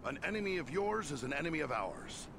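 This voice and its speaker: Gravelly, honourable fantasy hero. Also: male voice, a little high, sing-song, fast, noisy background. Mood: resolute, sincere. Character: earnest male fantasy hero, sturdy and honourable. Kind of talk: scripted fantasy game dialogue.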